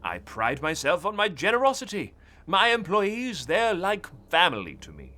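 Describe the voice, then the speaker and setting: A man playing a proud, defiant gentleman employer in a radio play, indignant and formal. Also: sits high, huge pitch swings, moderate pace, exaggerated voice. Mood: proud indignation.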